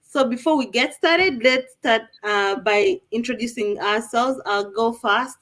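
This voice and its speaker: A woman from Uganda hosts a live online panel, her voice sitting a little high. She is upbeat and chatty and talks straight to the viewers. The sound comes through a video call. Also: a little slow, fairly bright tone. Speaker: live show host.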